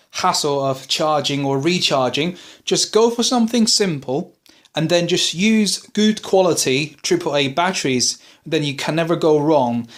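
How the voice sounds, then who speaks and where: A man presenting an informal product buying guide, explaining things plainly in an unscripted, advisory way. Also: on the high side.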